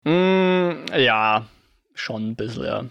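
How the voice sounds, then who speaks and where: A man in a relaxed film podcast chat who talks his thoughts through as they come. He often stumbles and restarts mid-sentence while hunting for names, and his voice sits at an ordinary middle pitch for a man. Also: slow pace, creaky, lo-fi sound. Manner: hesitant, restarts and self-corrections. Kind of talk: casual film discussion.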